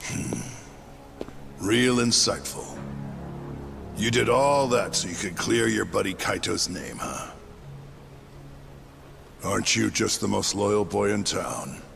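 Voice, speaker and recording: A coldly mocking yakuza captain in a dramatic game cutscene. His voice is very deep and heavily creaky, drawled slowly and deliberately, with music underneath. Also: heavily acted. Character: menacing, sardonic male yakuza captain, coldly toying with people.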